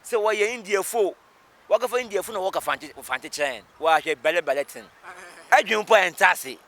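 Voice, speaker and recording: High-pitched, bright Ghanaian man. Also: lively intonation, moderate pace. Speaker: member of the public interviewed. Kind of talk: animated street interview in code-switched speech.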